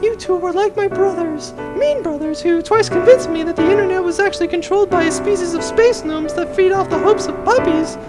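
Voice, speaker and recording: Man putting on a high-pitched earnest young woman. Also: brisk, hardly any pauses, loud music behind the voice. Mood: earnest, affectionate.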